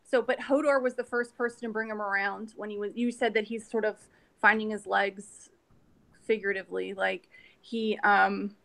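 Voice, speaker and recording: A woman's voice: medium pitch, fairly even intonation, normal speed, gravelly, a bit bright. Rambling woman in a book chat. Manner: rambling, many restarts and "you know"s. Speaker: book club member. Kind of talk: casual book discussion.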